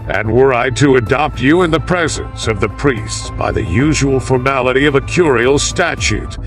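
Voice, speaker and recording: A man reading a classical history as an audiobook narrator, in a formal, measured style. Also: medium pitch, fairly bright tone.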